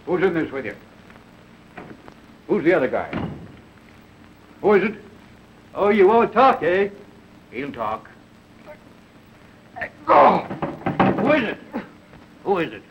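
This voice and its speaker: An actor playing a bullying, insistent police detective in a 1930s film, heard on a muffled, noisy soundtrack. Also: male voice, high-pitched, very animated, takes it slowly, pauses often, exaggerated voice. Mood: menacing, demanding. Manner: menacing, insistent questioning. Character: bullying, threatening male police detective demanding answers.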